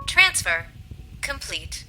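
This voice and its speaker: An actress playing a polite, impassive computer voice assistant with measured, formal speech, in an audio spy comedy. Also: female voice, mid-range voice, very animated, bright, forceful tone, soft background music, full character voice.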